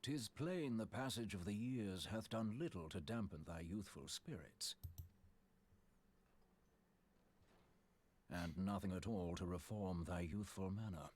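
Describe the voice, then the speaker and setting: An actor playing a stiff, scholarly man in a fantasy game, speaking formally in lofty archaic phrases with a husky voice. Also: deep voice, a little mellow. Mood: dry disapproval. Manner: formal, measured, grandiloquent. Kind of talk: fantasy video game acting.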